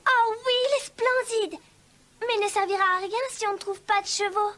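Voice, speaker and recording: A woman playing a gentle, downtrodden, obedient young heroine in a fairy-tale cartoon, speaking meekly and earnestly. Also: very high-pitched, moderate pace. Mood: amazed. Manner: meek, sweet, earnest.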